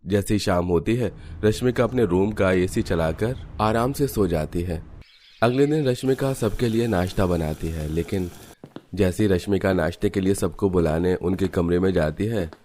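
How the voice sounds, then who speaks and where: Very deep-voiced male story narrator. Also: moderate pace, dark tone, slight background noise. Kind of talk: scripted cartoon story narration.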